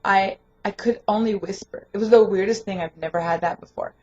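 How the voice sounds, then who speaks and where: A young woman with a lingering hoarse voice, chatting casually and rambling in a home vlog, laughing often. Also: mid-range voice, a little fast, a little mellow, highs cut off, a little hiss or hum. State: hoarse, voice not fully recovered. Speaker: vlogger and home daycare provider. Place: her home, indoors.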